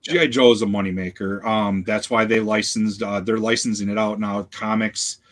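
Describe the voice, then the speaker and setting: Middle-aged male host, low-pitched, casual and rambling, easygoing home chat. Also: little pitch movement, moderate pace, a bit bright. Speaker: podcast host. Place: home collection room.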